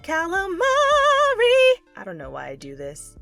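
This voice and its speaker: A woman's voice: very high voice, wildly swooping pitch, measured pace, vocal fry, pushed and bright, faint music behind. A woman hosting a fan fiction channel, moving between story narration and playful casual chat. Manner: goofy, playful, slangy in asides.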